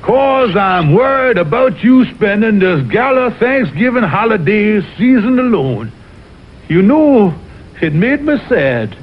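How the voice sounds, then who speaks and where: An actor voicing a blustering, scheming Southern fox colonel in an old TV cartoon, with pompous, flowery talk and a Southern US accent. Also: male voice, sits high, sing-song, takes it slowly, husky, exaggerated voice. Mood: wheedling. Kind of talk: 1960s TV cartoon acting. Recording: muffled, noisy old television soundtrack.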